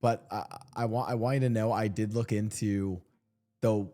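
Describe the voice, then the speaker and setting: A man in his thirties hosting a podcast, joking and rambling with a guest and quick to laugh. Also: lowish voice, steady tone, warm tone. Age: 30s. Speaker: podcast host. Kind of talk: casual podcast chat.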